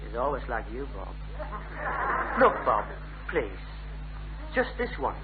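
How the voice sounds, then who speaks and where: An actor playing a cajoling, cheeky young working-class schemer with a North East English accent in an old radio sitcom. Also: male voice, medium pitch, huge pitch swings, slow. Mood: pleading, insistent. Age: young adult. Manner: persuasive, pestering, chatty. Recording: tinny, hissy old radio recording.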